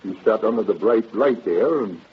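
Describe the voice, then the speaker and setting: An older night watchman in an old radio drama, talkative and eager to help. His voice is very rough and husky, swinging up and down in a folksy, hesitant drawl. The recording is dull and muffled. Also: fairly low pitch, lively intonation, moderate pace. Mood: eager, obliging.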